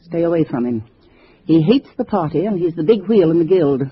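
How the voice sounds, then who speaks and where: An actress playing a calculating communist cell leader who gives businesslike orders in 1950s radio drama style. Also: female voice, on the low side, lively intonation, a little fast, a little hiss or hum, close to an everyday voice. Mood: firm warning. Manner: businesslike, instructing. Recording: dull vintage radio recording.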